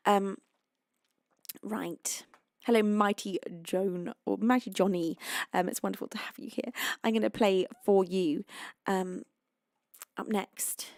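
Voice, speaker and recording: A British woman, a singer-songwriter, chatting softly with her live stream audience between songs, with piano under her voice. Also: medium pitch, fairly even intonation, slow pace, pauses often, dry recording, studio quality. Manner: soft, chatty between songs, sings gently.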